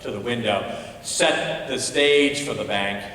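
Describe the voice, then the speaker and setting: Man speaking haltingly in a very echoey hall. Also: mid-range voice, a bit bright. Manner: halting, searching for words. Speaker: festival judge giving critiques. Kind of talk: spoken awards feedback to an audience. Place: large indoor auditorium. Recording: distant echoey hall PA, long reverb, faint noise.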